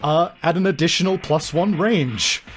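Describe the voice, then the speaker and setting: A man narrating a horror tabletop game as game master, describing things vividly with hesitant pauses. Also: on the high side. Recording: music bed behind the voice.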